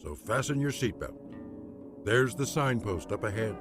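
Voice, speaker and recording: A man's voice: fairly low pitch, very animated, moderate pace, over loud music. A man announcing the opening of a radio drama series in a grand, portentous manner.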